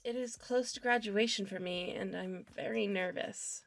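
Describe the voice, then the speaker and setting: A woman acts out a nervous teenage girl in a fan audio drama. She speaks quietly and hesitantly at a middling pitch, in a dry recording. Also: moderate pace, gravelly, dry recording, lightly acted, rough recording. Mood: anxious, downcast.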